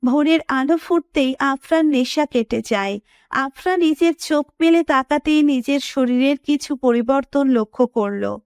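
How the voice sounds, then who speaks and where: Female narrator for scripted romance audio story, high voice, dry recording. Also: measured pace, darkish tone, dry recording.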